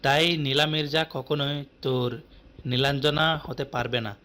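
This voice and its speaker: A man's voice: medium pitch, fairly even intonation, unhurried, bright, forceful tone. A man playing a boastful, mocking love rival who appears as a rat in a dream, in an audio story. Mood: gloating. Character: taunting male rival with a dancing rat's body in a dream. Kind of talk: dramatised audio story acting.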